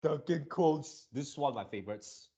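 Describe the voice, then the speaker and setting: A man hosting a casual fan reaction video, gushing excitably in halting, drawn-out phrases. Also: fairly high pitch.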